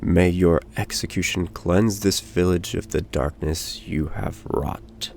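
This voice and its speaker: A stern, accusing village leader acted by a male reader speaks with solemn command. His words come slowly and deliberately in a low male voice, condemning like the judge of a dark storybook tale. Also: creaky, a little hiss or hum, full character voice.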